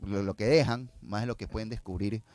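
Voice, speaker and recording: A man's voice: fairly low pitch, fairly quick, a little mellow, no room sound. A man speaking as a filmmaker guest in a casual interview, explaining and telling anecdotes, often tagging phrases with a questioning "no?".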